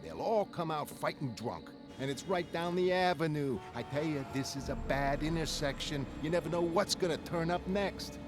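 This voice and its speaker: A griping street vendor played by a man in a motion comic, pitched up and talkative. He grumbles in loose, streetwise speech over music. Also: expressive, normal speed, few pauses, lightly acted.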